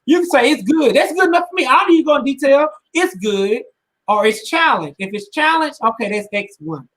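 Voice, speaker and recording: A woman's voice: sits high, lively intonation, a little fast. A woman in her twenties, a podcast co-host, giving blunt, emphatic opinions in slangy casual chat. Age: 20s.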